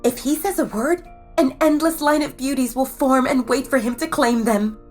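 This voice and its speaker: A woman's voice: sits high, normal speed, darkish tone, faint music behind, close to an everyday voice. A woman voicing a young female werewolf who eagerly talks up her friend's match, speaking with an eager, persuasive and teasing manner. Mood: coaxing. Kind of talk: audio drama acting.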